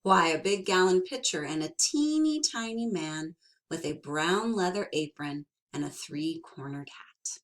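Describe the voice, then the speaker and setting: Young woman teacher, storybook read-aloud, slow and expressive. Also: lowish voice, low-quality recording. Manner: slow and expressive, asks the listeners questions.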